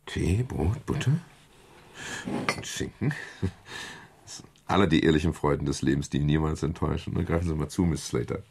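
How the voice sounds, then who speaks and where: An actor playing a world-weary private detective in a German crime radio play, wry and measured, with a gravelly, husky voice. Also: male voice, a bass voice, darkish tone, a little hiss or hum. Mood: gently caring. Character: world-weary male private detective narrating his case. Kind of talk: German crime radio play, first-person narration and dialogue.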